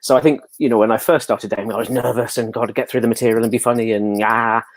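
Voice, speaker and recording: A man's voice: mid-range voice, fairly even intonation, quick pace, no room sound. An English man chatting casually and telling anecdotes as an interview guest, with a jokey, self-deprecating manner. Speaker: interview guest, pantomime dame performer.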